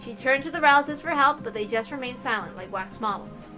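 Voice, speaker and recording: A woman narrates a visual novel in a fairly high voice. Her reading is expressive, broken by casual asides, with music faintly playing behind her. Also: fast talker.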